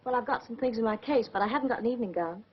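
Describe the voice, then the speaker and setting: A nervous young new escort. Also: female voice, fairly high pitch, very fast, breathy edge. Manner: hesitant, doubtful. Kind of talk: 1940s British film comedy acting. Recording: dull 1940s film soundtrack with faint hiss.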